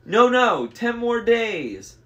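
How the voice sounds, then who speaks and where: Storybook satyr in disguise: high falsetto, coy flirting, hesitant and placating. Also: male voice, very animated, takes it slowly, a bit bright, full character voice. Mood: flirtatious, pleading.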